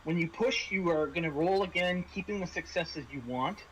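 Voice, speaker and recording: A man with a forward, bright voice sitting a little high in pitch runs a tabletop role-playing game. He moves between explaining dice rules and narrating scenes as game master. Faint noise sits behind the voice. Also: normal speed, rough recording.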